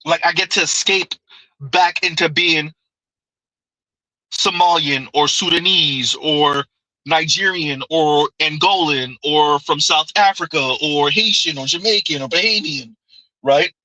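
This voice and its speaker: A man's voice: on the high side, unhurried, bright, forceful tone, no echo, rough recording. A man hosting a live stream, reading viewer comments aloud and answering them in loose, conversational commentary that keeps circling back with "right?" and frequent laughter.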